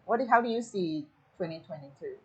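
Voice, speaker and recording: A woman with a husky, breathy edge to her voice and a Singaporean English accent leads a panel discussion over a video call. She sits a little low in pitch and probes her guests in an easy, chatty manner full of fillers. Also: expressive, a little fast, a little mellow, rough recording. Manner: probing, conversational, many fillers. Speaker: show host. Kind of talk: panel interview chat.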